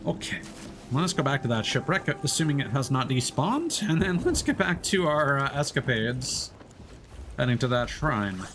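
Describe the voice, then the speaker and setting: A man's voice: medium pitch, big pitch swings, normal speed, a bit bright, a little hiss or hum. A man casually commenting on his gameplay as a streamer, chatting through tips with many fillers.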